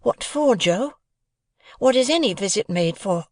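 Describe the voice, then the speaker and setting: A man's voice: high-pitched, animated, measured pace, a little mellow, no room sound, pitched above the performer's own voice. A man voicing an earnest young male apprentice with a pleading manner.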